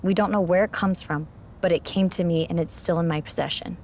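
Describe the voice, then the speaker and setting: An actress plays a seasoned female wrestling champion in a video game, guarding dangerous secrets. She speaks gravely and cautiously in a slightly low voice, with faint noise in the background. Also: fairly even intonation, fairly quick, a little mellow, close to an everyday voice. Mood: solemn. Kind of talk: cinematic game dialogue.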